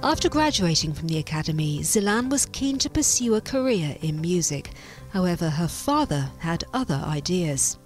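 A woman narrating a documentary voice-over in a measured way. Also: on the low side, big pitch swings, music in the background.

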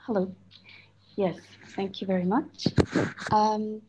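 A woman from Switzerland speaking on a video call as a panelist, earnest and measured, with frequent fillers. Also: medium pitch, level tone, darkish tone, lo-fi sound, a little hiss or hum. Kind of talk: panel discussion remarks.

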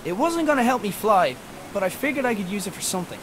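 A woman's voice: mid-range voice, animated, fast, background noise, natural voice. A woman voicing a plucky young adventurer in a game, narrating her own mishaps with dry, self-mocking wit. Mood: wry. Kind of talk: wry storybook game narration. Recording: game audio with clear music.

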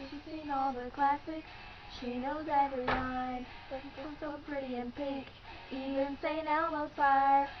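A woman's voice: sits high, flat delivery, unhurried. A young woman singing along to pop-rock in an amateur, playful way at home. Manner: playful, giggly. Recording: dull lo-fi home recording with loud music. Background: pop-rock song playing.

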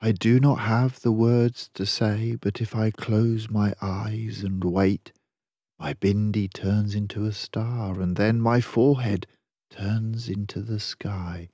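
Storyteller: older man, slightly husky and close-recorded, expressive, unhurried read-aloud for young children. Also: fairly low pitch, no echo. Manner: measured, deliberate, rhyming delivery. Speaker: storyteller reading a children's picture book.

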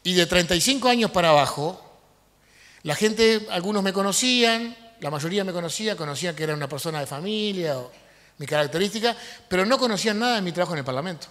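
A middle-aged man giving an animated conference lecture on a handheld mic in a large university auditorium, with fillers and restarts. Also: high-pitched, fast. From Uruguay. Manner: animated, gestures while speaking, frequent fillers and restarts. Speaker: lecturer and politician. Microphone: handheld microphone. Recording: long hall reverb.

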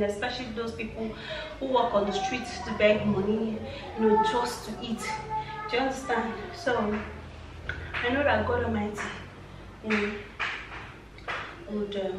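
A woman in her thirties chatting casually for her vlog while packing food at home. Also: fairly high pitch. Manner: chatty, laughs easily, counts aloud. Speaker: vlogger. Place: large tiled living room, indoors. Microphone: far camera. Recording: echoey room from far off, noisy.

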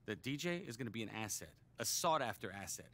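An actor playing an eager, fussy American sports agent in a video game cutscene, wordy and stammering when worked up. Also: male voice, on the low side, fast, heavily acted. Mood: smooth, businesslike. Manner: wordy, stammering, over-excitable salesman.

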